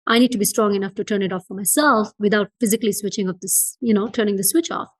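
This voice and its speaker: A woman from Fiji talks as a guest in a conversational interview. Her mid-pitched voice comes across earnest and reflective. She repeats words to drive a point home. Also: steady tone, quick pace. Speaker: entrepreneur being interviewed as a guest.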